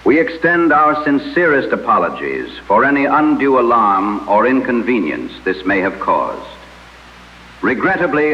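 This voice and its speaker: A man playing a composed, official emergency broadcast announcer, reading formally and in a measured way. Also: mid-range voice, natural voice. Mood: formal, apologetic. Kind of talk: scripted old broadcast announcement. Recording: muffled, echoey old radio broadcast sound.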